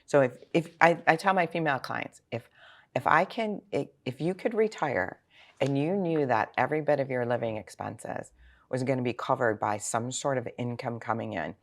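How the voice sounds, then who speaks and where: Woman, age sixty, financial planner, gravelly fry, informal interview answers, rhetorical questions. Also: extremely low pitch, normal speed.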